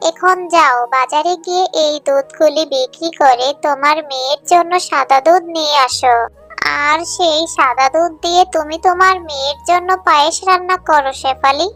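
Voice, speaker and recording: A kind, long-suffering cartoon cow, voiced by a woman, speaks in an extremely high, bright voice. The delivery is plaintive and earnest, with faint music behind it. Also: moderate pace. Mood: reassuring. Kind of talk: cartoon voice acting.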